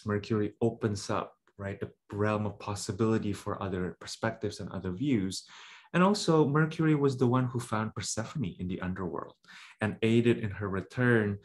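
A man in his thirties from Indonesia giving a reflective online talk on a webcam, with many "right?" checks and "um" pauses. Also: fairly low pitch. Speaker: symposium speaker. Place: indoor room at home. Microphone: webcam video call.

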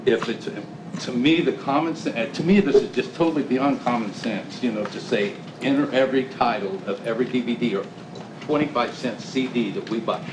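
A man's voice: mid-range voice, fairly quick. Middle-aged man, business owner at a public hearing, insistent and repetitive, echoey room with audible background noise. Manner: emphatic, repetitive complaining. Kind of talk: public comment at city meeting. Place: small council chamber, standing before audience.

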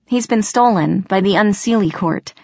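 A woman's voice: mid-range voice, moderate pace, mellow, no room sound, lo-fi sound, voice pushed up, natural voice. A woman voicing an anxious human girl in a fantasy audiobook, earnest, hesitant and pleading. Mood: desperate pleading.

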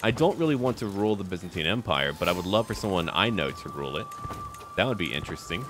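Deep-voiced man commentating a game, animated intonation. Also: fast. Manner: theatrical, short declarative remarks, talking to characters. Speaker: gaming video host. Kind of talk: first-person roleplay commentary on a strategy game.

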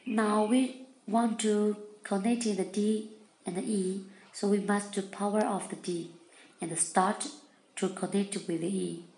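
A woman demonstrating a product in non-native English, taking each step slowly. Her phrasing is simple and halting, with repeated instructions. The room is echoey, with some background noise. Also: medium pitch, steady tone, darkish tone. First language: not English.

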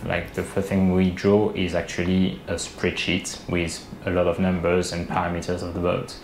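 A man's voice: sits low, monotone, normal speed, gravelly. A man in his thirties, a boat designer, explaining things in an interview over a video call, hesitant with many fillers and restarts. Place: indoor room, video call. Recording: roomy webcam audio with faint hiss.

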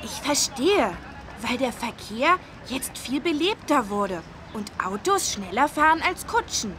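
A woman's voice: high voice, very animated, unhurried, bright, forceful tone, a little hiss or hum, heavily acted. A woman voicing a curious, eager little boy in a children's learning game, with a chirpy manner. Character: bright curious little boy, elephant's young friend.

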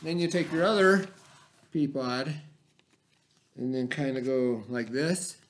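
A man in his forties, a teacher, giving slow step-by-step craft instructions to children from a classroom webcam. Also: mid-range voice, a little hiss or hum. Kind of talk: remote classroom craft lesson. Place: classroom, indoors. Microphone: webcam at desk.

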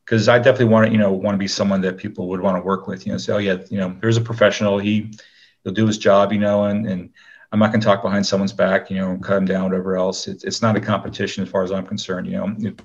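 A man with a low-key, laid-back manner, chatting casually as an interview guest. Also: flat delivery, fast, breathy edge, lo-fi sound. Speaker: guest, actor.

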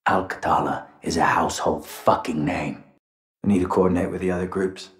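In an echoey room, a ruthless militant boss for a video game. He speaks slowly and low, with measured menace. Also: steady tone. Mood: cold menace. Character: calm, ruthless male militant cell leader.

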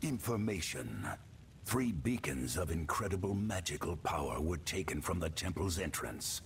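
Deep, stern warrior hero. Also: male voice, expressive, moderate pace, a little hiss or hum. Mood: businesslike. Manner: grave, purposeful, formal. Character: stern, resolute male warrior adventurer on a quest. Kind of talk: video game voice acting.

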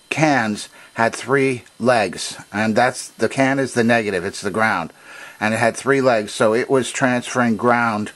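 A man presenting an unscripted hands-on technical demonstration in a halting, methodical, explanatory way. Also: lowish voice, a bit bright. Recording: noticeable background noise.

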